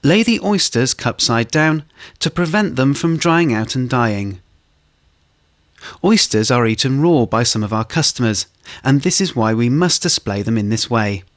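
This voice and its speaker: A man reading scripted instructional narration for a corporate training film, in a measured way. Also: mid-range voice, lively intonation.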